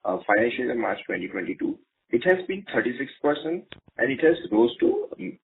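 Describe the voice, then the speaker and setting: A man with an Indian accent, an analyst putting questions in a halting way on an earnings call. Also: medium pitch, very animated, creaky, a little hiss or hum. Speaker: analyst caller. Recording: muffled, dull conference phone line.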